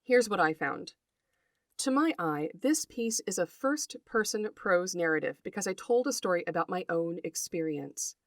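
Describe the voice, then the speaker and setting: A middle-aged woman hosting a podcast, reading a scripted narration in an articulate, deliberate storytelling way and speaking directly to her listeners. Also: on the low side, lively intonation, dry recording, clean studio sound. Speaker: podcast host, writer and folklorist.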